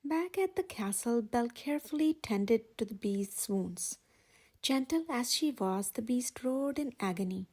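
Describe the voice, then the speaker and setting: A woman with a breathy voice reading a children's story aloud in an expressive way. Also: fairly high pitch, unhurried. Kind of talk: expressive scripted read-aloud narration for children.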